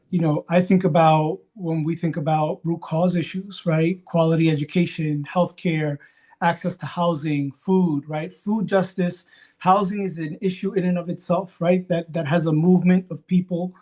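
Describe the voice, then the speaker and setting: A man from Queens, New York, speaking off the cuff as a panelist in a reflective, halting way, punctuated by checks for agreement. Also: fairly high pitch, steady tone, dark tone. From Queens, New York, USA. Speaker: panelist, community organizer. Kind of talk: unscripted panel talk.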